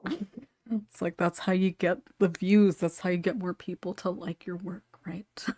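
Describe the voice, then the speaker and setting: A woman hosts a casual group chat in a husky voice that sits a little low. The sound is close and dry. She talks informally and breaks easily into giggles. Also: little pitch movement, normal speed, warm tone, no echo. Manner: giggly, laughs often between remarks. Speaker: podcast host.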